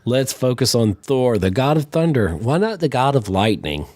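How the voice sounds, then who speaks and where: A man with a cold hosting a podcast, talking in a deep, hoarse voice and rambling casually off on tangents. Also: very animated, moderate pace, no echo. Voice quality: deep, dark voice. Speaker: podcast host.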